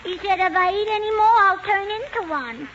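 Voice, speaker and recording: A woman's voice: very high-pitched, lively intonation, normal speed, a bit bright. An actress playing a mischievous, cheeky little girl in 1940s radio comedy, childish and full of contrary back-talk. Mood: innocent. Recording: muffled, noisy 1940s radio recording.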